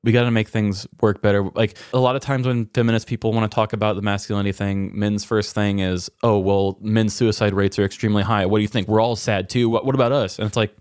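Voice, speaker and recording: A man's voice: fairly low pitch, level tone, quick pace, few pauses, a little mellow, smooth, no room sound, clean studio sound. A man hosting a podcast, talking in a candid, reflective and self-deprecating way. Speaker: podcast host. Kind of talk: candid personal conversation.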